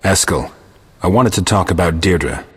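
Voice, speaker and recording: A man playing a stoic, terse monster hunter in a video game, speaking in a dry, questioning way with a husky rasp. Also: low-pitched, lively intonation, normal speed, no room sound, full character voice. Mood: terse, reserved. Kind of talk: video game dialogue acting.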